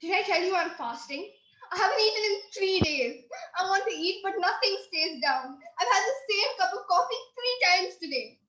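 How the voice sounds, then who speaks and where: A very high-pitched stubborn wife in a stage farce, wailing her grievances at officials. She is pushy, plaintive and melodramatic, heard in a roomy, slightly muffled recording. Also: expressive, moderate pace, pushed and bright, heavily acted. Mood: wailing, self-pitying. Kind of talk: comic stage-play acting. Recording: roomy, band-limited recording.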